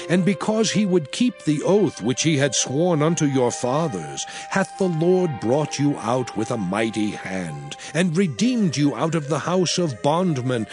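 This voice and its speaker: A man reads ancient scripture aloud with grave, emphatic weight, taking his time over every phrase. His voice sits a little high and sweeps widely in pitch, with soft music underneath. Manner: slow, grave, emphatic declamation. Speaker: narrator. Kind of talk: dramatized King James scripture reading.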